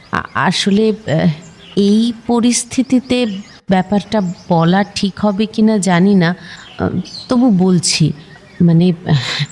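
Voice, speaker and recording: An actress playing a polite but probing middle-aged neighbour woman in an audio drama, speaking haltingly and hesitantly. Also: on the low side, mellow.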